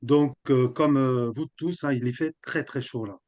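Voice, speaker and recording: A man from Nice presenting to a group on a video call, relaxed and chatty with many fillers. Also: medium pitch, measured pace. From Nice, France. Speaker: team strategist presenting. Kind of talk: casual presentation. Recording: dull lo-fi video call audio.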